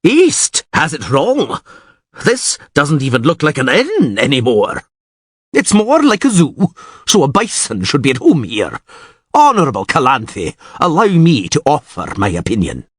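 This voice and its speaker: A man's voice: on the high side, huge pitch swings, measured pace, fairly bright tone, husky, no echo, clean studio sound, voice pushed up, full character voice. A man playing a blunt nobleman with a mocking way of talking. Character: blunt loud-mannered nobleman.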